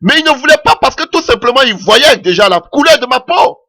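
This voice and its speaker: Guy preaching hard, high lively voice, says alléluia a lot, french isnt his first language. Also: brisk, soft background music. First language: not French. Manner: impassioned preaching with frequent "Alléluia" interjections. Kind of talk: sermon.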